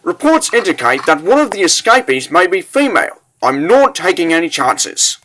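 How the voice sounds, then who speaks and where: A man's voice: high voice, sing-song, moderate pace, pushed and bright, slight background noise, voice pushed up, heavily acted. A man voicing a stern, suspicious soldier captain in a comic dub, barking military commands aggressively.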